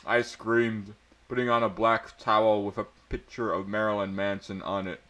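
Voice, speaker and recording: A man's voice: on the low side, level tone, normal speed, a bit bright. A man giving an amateur mock-dramatic reading of fan fiction, stumbling over words and prone to breaking up. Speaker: amateur reader.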